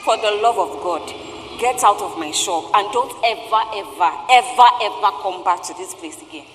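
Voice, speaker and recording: A woman's voice: medium pitch, expressive, normal speed, fairly bright tone, close to an everyday voice. Woman acting a suspicious shopkeeper, echoey hall. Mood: irritated. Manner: emphatic, repetitive. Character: irritable, suspicious woman shopkeeper, quick to interrogate. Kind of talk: theatrical stage play acting. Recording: echoey theatre hall, faint noise.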